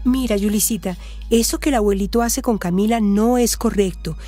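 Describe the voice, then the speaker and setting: A woman's voice: medium pitch, moderate pace, warm tone, faint music behind, natural voice. A woman playing a caring, level-headed Colombian friend and adviser in a radio soap opera. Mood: firm, earnest.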